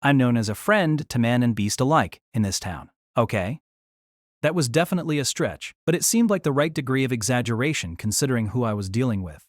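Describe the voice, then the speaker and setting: A man's voice: mid-range voice, expressive, a little fast, no echo, clean studio sound, close to an everyday voice. An actor playing a wry, exasperated young man, delivering wordy first-person story narration and dialogue in a deliberating, self-conscious way. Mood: placating, wry.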